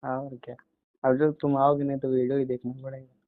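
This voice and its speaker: A man's voice: medium pitch, monotone, a little fast, no room sound. Coaxing man on a tinny phone line. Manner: coaxing, teasing. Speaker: boyfriend on a phone call. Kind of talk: casual intimate phone chat. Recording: tinny, band-limited phone call recording.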